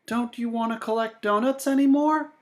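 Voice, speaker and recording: A man's voice: very high voice, steady tone, moderate pace, darkish tone, voice pushed up. A man voicing an earnest, kindhearted young boy in a storybook, speaking expressively. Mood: puzzled. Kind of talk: animated storybook character reading.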